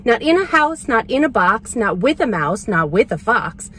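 A grumpy, stubborn storybook fellow who refuses his food, acted out by a woman. The lines come in emphatic rhymed refusals with a very lively, swinging pitch. There is some noise from inside a vehicle. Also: moderate pace.

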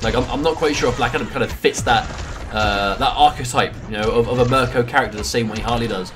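A British man with a head cold and a congested voice, doing quick analytical commentary on a fighting game. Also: mid-range voice, noisy background. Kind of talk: esports commentary.

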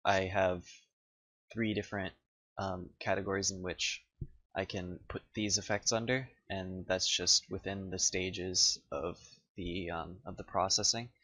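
A man who develops software giving a casual screencast demo of his own program in a hesitant, low-key way. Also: steady tone, unhurried, no echo, poor audio.

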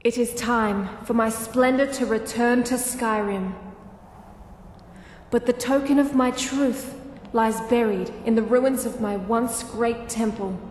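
Woman doing a stately goddess, bossy n formal, slow, lots of echo, video game style. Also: on the high side, a little mellow, slight background noise. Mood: solemn, commanding. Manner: formal, solemn, declamatory. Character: imperious female goddess, stately, wrathful, commanding. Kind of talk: fantasy game voice acting. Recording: echoey hall-like reverb.

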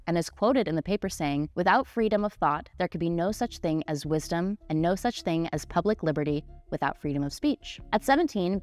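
A woman in her twenties hosting a history show, giving scripted narration in a conversational, dramatic way with casual asides. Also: fairly low pitch. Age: 20s. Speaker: host of a history mystery show. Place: small indoor studio set. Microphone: desk microphone, close. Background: music.

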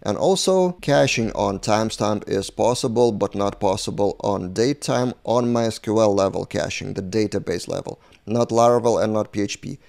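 Low-voiced man with a flu, presenting a tutorial. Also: normal speed, creaky, hardly any pauses, a little mellow. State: sick with flu, voice affected. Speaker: programming tutorial host. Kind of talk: explanatory screencast tutorial. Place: indoors, webcam beside screen recording.

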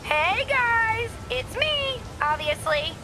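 A woman's voice: very high voice, big pitch swings, slow, heavily acted. A woman playing a young, taunting livestreamer villain in a video game, perky and theatrical like an overexcited internet host. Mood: gleeful hype. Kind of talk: exaggerated streamer hype in a video game. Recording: loud city game noise behind.

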